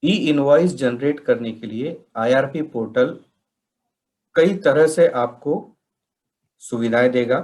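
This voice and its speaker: Slow-paced man giving methodical instruction. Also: medium pitch, a little mellow, poor audio. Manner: methodical, step-by-step explaining. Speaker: software trainer presenting a tutorial. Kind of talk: instructional slide narration.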